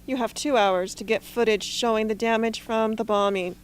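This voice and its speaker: A woman playing a brisk military leader in an amateur radio drama, formal and authoritative. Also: fairly high pitch, steady tone, clear, resonant tone, natural voice, rough recording. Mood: commanding.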